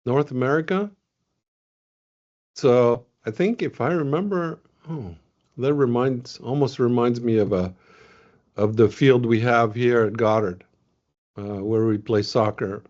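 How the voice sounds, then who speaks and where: A man from Venezuela presents results in a loose, chatty webinar, full of ums and restarts. His voice sits at an ordinary middle pitch. It comes through a video call with dull, muffled audio. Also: measured pace, pauses often, a little mellow.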